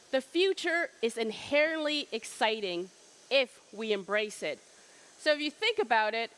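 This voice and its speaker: Middle-aged woman presenter with a slightly high voice, emphatic keynote delivery and rhetorical questions. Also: a bit bright, low-quality recording. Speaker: trade fair presenter.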